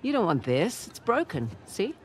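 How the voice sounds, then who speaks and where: A woman's voice: mid-range voice, wildly swooping pitch, moderate pace, slight background noise. A woman playing a wry, guarded adventurer in her thirties in a video game, with a dry, understated delivery. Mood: dry, teasing. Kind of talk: naturalistic cinematic video game acting. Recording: clear outdoor street ambience behind.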